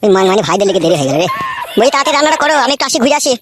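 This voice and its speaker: A man's voice: very high-pitched, very animated, very fast, very noisy. A man playing a bumbling, excuse-making village husband in a comic cartoon, puzzled and crude. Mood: baffled, coaxing. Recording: music under the voice.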